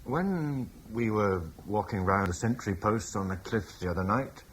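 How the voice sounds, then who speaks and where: A blunt British war chief. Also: male voice, unhurried, a little hiss or hum. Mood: curious. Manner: blunt and plain-spoken, lively pitch. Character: proud battle-hardened British war leader, blunt. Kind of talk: radio drama acting.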